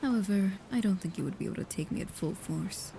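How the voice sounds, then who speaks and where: A woman playing a devoted, proud and guarded vampire protector in an intimate ASMR roleplay, speaking softly and haltingly, often dropping into a whisper. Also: mid-range voice, close to an everyday voice. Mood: calmly proud. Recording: clear background noise with ambience.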